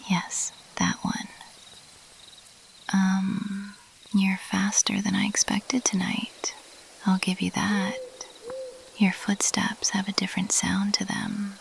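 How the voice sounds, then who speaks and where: A woman voicing a mischievous, playfully elusive bat monster girl in a teasing, intimate, breathy whisper for an ASMR roleplay. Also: medium pitch, completely flat delivery. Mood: playful, coy. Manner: slow, teasing, intimate, lingering on pauses. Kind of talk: ASMR roleplay, addressed to the listener.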